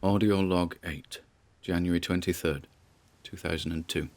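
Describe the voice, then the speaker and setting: A man's voice: deep voice, fairly even intonation, normal speed, darkish tone. A man playing a nervous, wry grandson who records audio logs, in a conversational, musing audio drama monologue. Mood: uneasy, musing.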